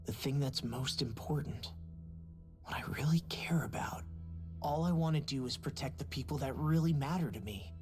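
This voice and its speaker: A man's voice: mid-range voice, moderate pace, natural voice. Casual young fighter dude, open and blunt, voiced by a guy, mid voice, faint game music. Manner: casual, candid. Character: brash young male brawler, big-hearted. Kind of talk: video game cutscene dialogue.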